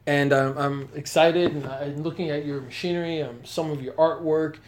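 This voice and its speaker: A man's voice: on the high side, fairly even intonation, normal speed, background noise. A man interviewing a guest in a studio, talking conversationally in an enthusiastic, encouraging way. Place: weaving studio, indoors.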